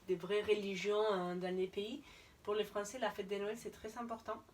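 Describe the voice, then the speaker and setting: A woman's voice: medium pitch, little pitch movement, moderate pace, distant mic, slight background noise. A woman in her thirties from Spain, a guest in an interview, chatting casually with frequent hesitation fillers. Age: 30s. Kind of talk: casual conversation.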